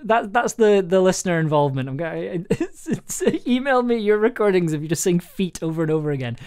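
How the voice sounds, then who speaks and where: Lower-pitched woman thinking aloud on stream. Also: expressive, a little mellow, clean tone. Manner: halting, thinking aloud with self-repetition. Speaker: audio drama writer and live streamer. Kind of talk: casual livestream chat while writing aloud. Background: music.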